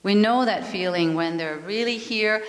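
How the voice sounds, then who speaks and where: A middle-aged woman from Denmark, Danish native, speaking with a Danish accent and giving a calm, earnest spiritual talk as a yoga teacher, often repeating words as she gathers her thoughts. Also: mid-range voice, normal speed. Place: spiritual community meeting hall. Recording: reverberant hall.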